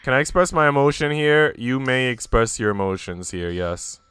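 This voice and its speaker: A man's voice: medium pitch, lively intonation, normal speed, fairly bright tone, clear, resonant tone, no room sound, faint music behind. A man live streaming in an informal, slangy way, moving between casual chat and reading aloud.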